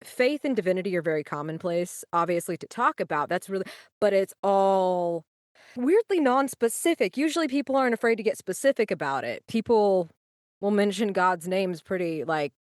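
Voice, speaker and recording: A woman's voice: medium pitch, expressive, moderate pace, no room sound, clean studio sound. A woman, casually improvising as a player in a tabletop roleplaying game. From United States.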